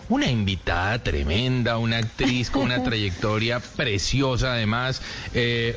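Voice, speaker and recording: A man's voice: medium pitch, a bit bright, a little hiss or hum. A man hosting a radio travel show and introducing a guest conversationally. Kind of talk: conversational interviewing.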